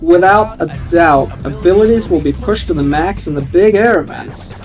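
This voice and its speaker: A man's voice: sits high, moderate pace, darkish tone. A young man on a headset mic, giving a playful, upbeat mock radio DJ announcement in his own voice. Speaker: streamer. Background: faint game music.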